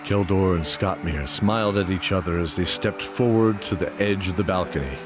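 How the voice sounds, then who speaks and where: Deep-voiced man narrating fantasy. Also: normal speed, music in the background. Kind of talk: scripted fantasy story narration.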